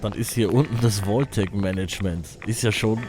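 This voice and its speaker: A man's voice: fairly low pitch, normal speed, background noise. A man with a German accent giving casual commentary as he plays a video game. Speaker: let's play host.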